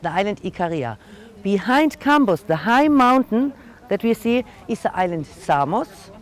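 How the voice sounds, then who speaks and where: A woman's voice: medium pitch, very animated, moderate pace. German-accented woman guiding a tour outdoors. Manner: friendly explaining, non-native grammar. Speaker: tour guide. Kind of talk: guided tour talk. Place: outdoors on a hilltop.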